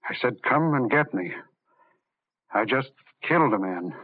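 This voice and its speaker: A man's voice: medium pitch, expressive, a little slow, slightly rough. An actor playing a grave, authoritative male doctor in an old-time radio drama. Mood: grim confession. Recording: tinny, hissy old radio recording.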